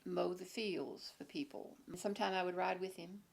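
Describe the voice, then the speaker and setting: A woman's voice: fairly low pitch, steady tone, moderate pace, gravelly. A woman in her seventies from Virginia, carefully recalling her parents in an oral history interview. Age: 70s.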